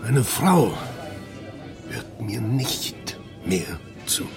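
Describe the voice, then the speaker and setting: Slow, creaky, amorous older boss. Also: male voice, medium pitch, very animated, music in the background, heavily acted. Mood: self-pitying, pleading. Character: amorous, self-pitying older male boss. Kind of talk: German radio play acting.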